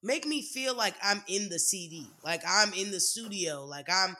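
A podcast co-host in casual chat who talks bluntly and reacts quickly. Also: mid-range voice, expressive, sharp tone.

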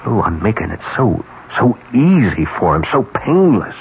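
An actor playing a cynical, scheming, impatient ad executive in a radio drama, talking in a glib, insistent way. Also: male voice, lowish voice, sing-song, normal speed. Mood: agitated, scornful. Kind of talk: 1970s radio drama acting. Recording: dull, noisy 1970s radio recording.

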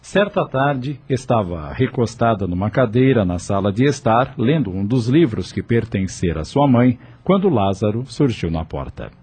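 A man narrating a radio drama in a measured, expressive storytelling voice. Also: on the low side, husky. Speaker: narrator and announcer. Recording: music beneath the narration.

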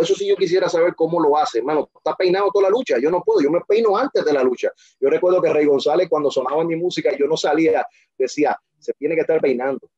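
A confident man tells his stories emphatically, stumbling and restarting as he goes. His voice is dark and husky. The sound is dry and dull. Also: medium pitch, no echo. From Dominican Republic. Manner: emphatic, self-assured, full of restarts. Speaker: interview guest. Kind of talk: casual interview storytelling.

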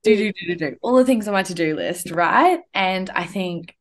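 A woman's voice: medium pitch, expressive, normal speed. A young woman hosting a casual podcast conversation, talking in a reflective way with lots of "like" and "um". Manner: reflective, uses many fillers like "like" and "um". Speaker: podcast host.

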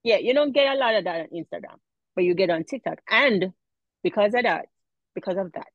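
A woman with a Jamaican accent talks as an interview guest, animated and quick to laugh, repeating phrases as she goes. Her voice sits mid-pitch, dry and close. The audio is dull and lo-fi. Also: no echo. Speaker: guest, mom influencer. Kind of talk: casual interview chat.

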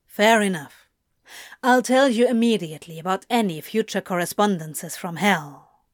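A woman's voice: medium pitch, moderate pace, no room sound, lightly acted. A woman voicing a sardonic, protective male demon in an audiobook story, with a dry, grumbling delivery. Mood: resigned. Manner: dry, muttering, deadpan.

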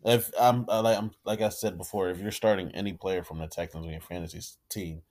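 Calm, soft-spoken young man, lower voice. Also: fast talker. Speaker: podcast co-host. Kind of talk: casual fantasy football chat.

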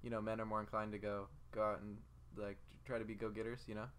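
A man's voice: fairly low pitch, monotone, fast talker, fairly bright tone, clear, resonant tone. A young man chatting casually as a podcast co-host, with a playful, teasing way of talking. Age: young adult. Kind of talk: casual banter.

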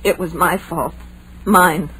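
Haunted, despairing young woman, slow halting delivery, solemn, 1930s radio play, faintly noisy old recording. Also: fairly low pitch, steady tone, pushed and bright. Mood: guilty, despairing. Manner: halting, solemn, repeats words. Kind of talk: 1930s radio drama acting.

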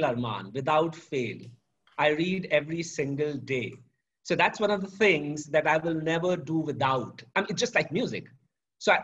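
A middle-aged man with a husky, slightly high voice speaks in a measured, articulate way, like someone used to teaching. The sound is dull and lo-fi. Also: fairly bright tone. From India. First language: Sanskrit. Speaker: guest, professor. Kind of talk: reflective interview answers. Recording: dull lo-fi audio.

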